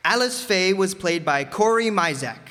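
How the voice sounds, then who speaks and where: A man's voice: high voice, lively intonation, moderate pace. A man announcing cast credits in old-time radio style to an audience in a town hall. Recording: echoey hall, crowd, noisy background.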